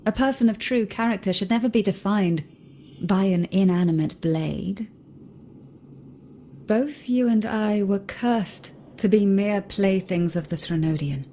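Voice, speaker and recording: A composed, gentle noblewoman from a video game, played by an actress. Her voice is mellow and mid-pitched, with slow, measured and courteous phrasing. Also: slight background noise, lightly acted. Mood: earnest. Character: composed, noble, gently philosophical young woman. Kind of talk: dramatic video game voice acting.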